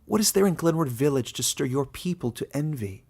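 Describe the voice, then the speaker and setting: A man's voice: a little high, lively intonation, a little fast, creaky, darkish tone, husky, voice pushed up, heavily acted. A man voicing an earnest, bewildered young villager in exile, protesting, in a fantasy story. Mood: incredulous. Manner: stammering at times, protesting.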